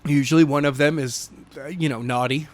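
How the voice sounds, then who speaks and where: A man's voice: on the high side, fairly even intonation, a little fast. A man co-hosting a podcast in casual banter, with a teasing way of talking. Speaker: podcast co-host, chapter narrator.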